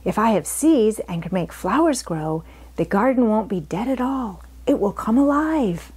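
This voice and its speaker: Storybook role: woman as lonely little girl, earnest and eager, lifted pitch. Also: expressive, normal speed, darkish tone, breathy edge. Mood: hopeful, hushed.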